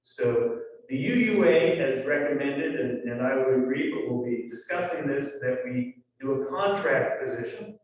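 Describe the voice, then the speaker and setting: A man giving church announcements in a relaxed, conversational way, with fillers and asides. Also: mid-range voice, normal speed. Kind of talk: congregational announcements. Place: large church sanctuary. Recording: echoey hall, distant dull mic.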